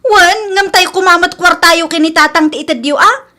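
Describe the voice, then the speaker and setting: A woman's voice: very high voice, moderate pace, sharp tone. A woman playing a proud, demanding young college daughter in a radio drama, petulant and insistent. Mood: offended. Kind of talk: amateur radio drama acting.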